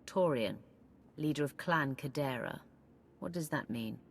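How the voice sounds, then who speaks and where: A woman's voice: a bass voice, animated, unhurried, vocal fry, slightly rough, heavily acted. A woman playing a stern female warrior commander who speaks firmly and directly.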